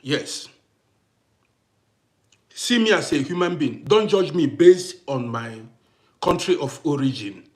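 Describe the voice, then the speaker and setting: Animated man hosting a livestream. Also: a little high, slow, pauses often. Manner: animated, greets viewers by name, repeats himself. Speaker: livestream host. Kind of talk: casual livestream chat with viewers.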